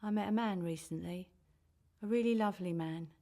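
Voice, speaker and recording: A woman's voice: on the low side, a little fast, dark tone, lightly acted. An actress playing a regretful, anxious middle-aged birth mother in a radio drama monologue. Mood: reflective, pained.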